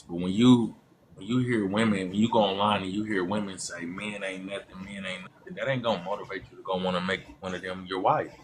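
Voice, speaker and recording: A man's voice: low-pitched, a little hiss or hum. A man calling into a live stream, giving his opinion in an emphatic, repetitive, preachy way. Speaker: caller. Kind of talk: opinionated call-in talk.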